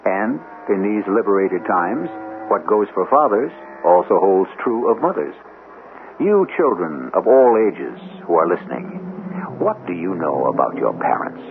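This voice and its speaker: A man hosting and narrating a 1970s radio drama in a theatrical, deliberate, rhetorical manner. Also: deep voice. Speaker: host and narrator. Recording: muffled old radio broadcast, clear hiss.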